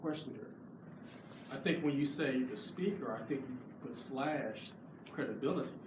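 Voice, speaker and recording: A man's voice: mid-range voice, normal speed. Guy in the audience speaking up, far from the mic, roomy hall with noise behind. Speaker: audience member asking a question. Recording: distant voice in an echoey hall with background noise.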